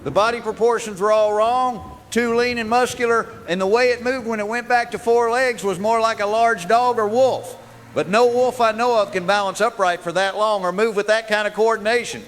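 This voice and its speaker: A fairly high male voice with a long reverberant tail, an American trucker on a radio phone-in. He is earnest and insistent, speaking as in a radio drama over faint noise. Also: steady tone, normal speed, fairly bright tone. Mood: earnest, uneasy. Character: earnest, insistent truck driver reporting a sighting. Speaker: radio caller.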